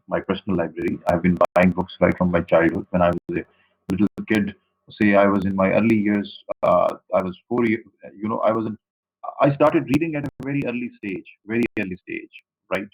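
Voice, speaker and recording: A man's voice: low-pitched, brisk. Pakistani man lecturing over dull audio. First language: Saraiki. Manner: repetitive, explanatory, addresses students directly. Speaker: university lecturer. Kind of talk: online class lecture.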